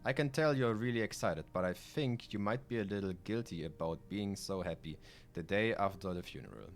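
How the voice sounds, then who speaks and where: A man's voice: lowish voice, fairly quick. A man from Germany with a German accent, a native German speaker, streaming a video game live with casual commentary, reading aloud and sweary, rambling asides.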